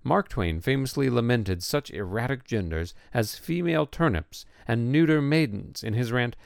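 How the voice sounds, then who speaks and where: Guy reading an essay out loud, measured and lively, lots of fry, very dry sound. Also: fairly low pitch, no echo. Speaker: narrator. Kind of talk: scripted narration of an essay.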